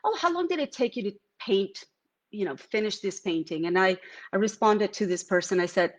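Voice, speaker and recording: A woman's voice: mid-range voice, lively intonation, moderate pace, lo-fi sound. A middle-aged woman from Venezuela with a Venezuelan Spanish accent, giving a live artist talk from her studio. She speaks reflectively, with many fillers and restarts. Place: home art studio.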